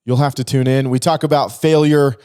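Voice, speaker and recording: A man's voice: mid-range voice, fairly even intonation, a little fast, mellow, smooth. Casual male podcast host. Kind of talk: casual interview chat.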